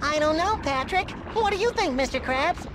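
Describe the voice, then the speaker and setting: Childlike cartoon game sponge, high squeaky voice, eager, lively pitch swings, noisy backdrop. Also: male voice, moderate pace. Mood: happy. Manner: eager, excitable. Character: childlike, excitable, naive cartoon sea sponge hero. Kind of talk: cartoon video game voice acting. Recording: clear background noise.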